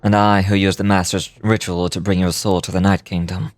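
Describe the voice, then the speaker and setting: A man playing a calm, imposing commander in a fantasy video game, speaking formal scripted dialogue in a measured, courteous way. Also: deep voice, no echo, natural voice.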